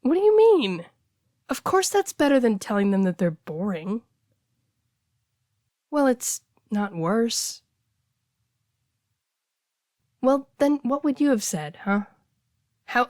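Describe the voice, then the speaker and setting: A woman playing a casual, blunt heartbreaker friend in an intimate audio roleplay, talking conversationally with hesitant, expressive delivery. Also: medium pitch, no room sound, clean studio sound, close to an everyday voice. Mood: defensive, teasing. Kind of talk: intimate one-sided audio roleplay, naturalistic dialogue.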